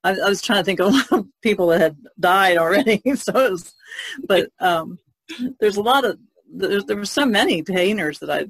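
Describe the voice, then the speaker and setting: Woman podcast guest, creaky voice, rambly and unsure, dry close sound. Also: mid-range voice, expressive, fairly quick, no echo. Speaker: podcast panelist. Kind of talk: casual chat.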